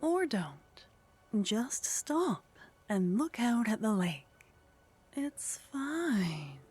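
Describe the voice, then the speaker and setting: A woman playing a teasing, condescending female vampire in a soft ASMR roleplay, languid and haughty, often slipping into whispers. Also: a little high, big pitch swings, very slow, breathy edge, full character voice. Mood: wry, coaxing. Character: teasing, condescending female vampire, sly, centuries-worldly contact.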